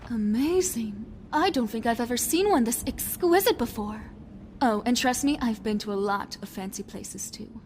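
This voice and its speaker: A woman's voice: a little high, animated, moderate pace, a little mellow, close to an everyday voice. A woman playing a vain, fashion-loving young socialite in an audio drama, with an affected, haughty, teasing manner. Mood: admiring, smug. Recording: faint background noise.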